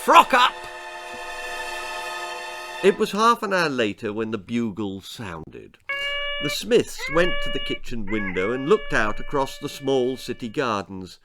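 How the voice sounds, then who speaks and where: A man with an English accent reading a children's story aloud in an expressive, theatrical and deliberate way. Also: mid-range voice, over music. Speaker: author reading his own story.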